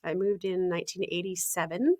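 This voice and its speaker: A woman, a health coach talking casually in an interview, often laughing, clearing her throat and using fillers. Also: fairly low pitch, fairly even intonation, fairly quick, a little mellow, dry recording. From Ontario, Canada.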